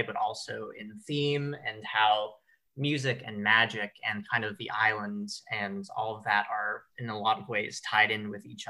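Bright-voiced man leading a discussion. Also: lowish voice, rough recording. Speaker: director hosting a talkback. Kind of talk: casual panel discussion.

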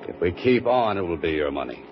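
An actor playing a wry mystery writer on the run, answering everyone with dry wisecracks, on an old radio drama recording. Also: male voice, low voice, big pitch swings, a little fast, muffled recording, slight background noise. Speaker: lead actor and narrator.

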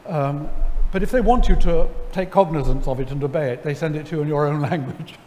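A middle-aged man, seated on stage, telling a story to an audience in a wry, animated way, full of ums and restarts. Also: a little high, fairly quick, slight background noise. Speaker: lecture guest. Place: large church sanctuary before an audience. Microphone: headset mic. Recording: hall reverb, faint ambience.

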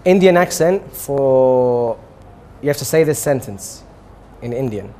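A man's voice: mid-range voice, animated, a little slow, mellow. Laid-back young man hosting in a studio. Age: young adult. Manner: laughing, easygoing banter. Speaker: co-host. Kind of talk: playful studio chat game. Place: studio set with lounge chairs. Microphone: lapel.

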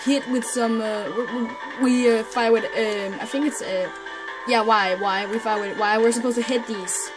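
A woman's voice: a little high, steady tone, moderate pace, low-quality recording. A woman giving hesitant, chatty commentary during a blind video game playthrough, reading menus aloud. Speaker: gamer doing a blind playthrough. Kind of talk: casual let's play commentary. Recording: commentary over loud game music.

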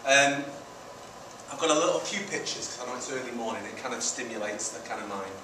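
A man's voice: medium pitch, fast talker. A middle-aged man from Manchester and Italy giving an informal presentation as a charity founder, with rhetorical questions and emphatic repeated phrases. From Manchester, England, and Italy. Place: indoor community centre meeting room. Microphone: distant camera at the back of room. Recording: very echoey room, distant mic, noisy.

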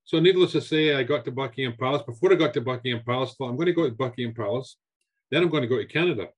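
A man's voice: medium pitch, racing, slightly rough. A man in his sixties with a Scottish accent, animatedly telling stories of his career as a guest on a video call from his home. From Scotland. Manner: animated, repeats words, gestures with hands. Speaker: guest chef. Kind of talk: storytelling interview answers. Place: home room with shelves.

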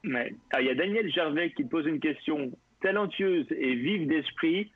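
A man hosting a live radio interview, lively and joking, often chiming in. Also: fairly high pitch, normal speed, husky, no echo, narrow, tinny audio. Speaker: radio show host.

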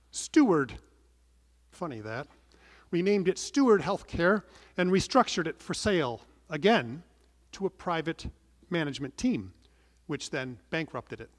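A middle-aged man preaching a sermon from the pulpit, earnest and measured, stressing some words and letting phrase endings fall soft. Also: fairly high pitch. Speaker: pastor. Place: large wood-panelled church, indoors. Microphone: pulpit microphone. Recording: long church reverb.

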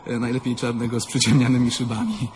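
An actor playing a cocky, self-justifying young car thief in a radio drama, bombastic and ironic. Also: male voice, medium pitch, flat delivery, fast, a little hiss or hum, close to an everyday voice. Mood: boastful. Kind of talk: theatrical radio drama monologue.

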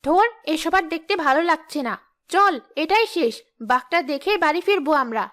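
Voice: high-pitched, animated, normal speed, bright, forceful tone. A performer voicing a stern, proud female ghost schoolteacher who lectures and scolds in a children's cartoon.

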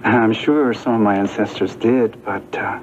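An actor playing a polite, composed young man in a soap opera, measured and mildly wry. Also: on the low side, fairly even intonation, lightly acted. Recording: muffled, noisy 1980s TV tape.

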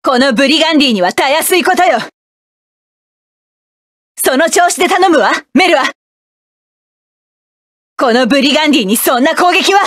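A woman's voice: high-pitched, expressive, moderate pace, long pauses, no echo. A woman voicing a proud, commanding adult mecha pilot in a game, barking orders and sneering at enemies. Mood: cool confident. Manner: sharp commanding battle shouts, cool haughty taunts. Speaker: voice actress for a game character. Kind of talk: game battle voice lines.

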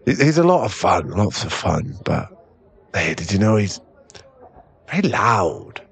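A man playing a drunk, clingy, lovesick boyfriend in an intimate ASMR roleplay, with halting, pleading speech and a husky, gravelly voice. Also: deep voice, very animated, a bit bright, full character voice. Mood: tipsy, playful. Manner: tipsy, halting, drawn-out, pleading delivery.

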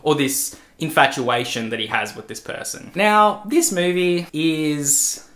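A man in his thirties talks through a film review in a casual, emphatic way. His voice sits a little high, and he swears freely. He sounds like he is in a small room at home, close to a desk camera. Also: a little slow, a bit bright. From Australia.

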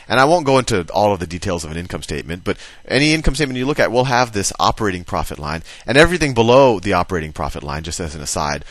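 A man's voice: low voice, fairly bright tone, no room sound. A man giving a casual explanatory lesson, talking it through conversationally and thinking aloud as he writes. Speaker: teacher.

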